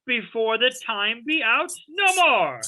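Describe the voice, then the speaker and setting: Woman playing imperious sorcerer, slow and piercingly bright. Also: on the high side, very animated, heavily acted. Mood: stern. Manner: slow, stern, theatrical declamation. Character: commanding, imperious sorcerer, master of a spirit. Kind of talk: Shakespeare play reading.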